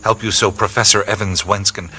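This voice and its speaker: An actor playing a laid-back, skeptical adventurer hero, talking in a dry, wry way. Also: male voice, lowish voice, steady tone, brisk, natural voice. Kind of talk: radio play acting with inner monologue. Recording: studio radio play with music score.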